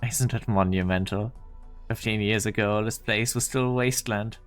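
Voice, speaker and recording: A man's voice: lowish voice, fairly even intonation, normal speed, clean tone, soft background music, close to an everyday voice. Man acting a curt, commanding research director. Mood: condescending. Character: haughty, impatient male research director, coldly commanding. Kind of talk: scripted anime game dub acting.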